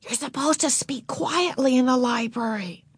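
A woman voicing an embarrassed, proper older sister, a young girl who pleads in a hushed voice. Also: sits high, normal speed, slightly rough, dry recording, voice pushed up. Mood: pleading hush.

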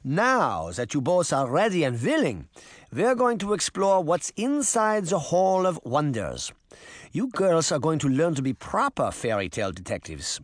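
A man voicing a kindly old grandmother, brisk and encouraging, in a children's storybook reading. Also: fairly high pitch, very animated, dry recording, clean studio sound.

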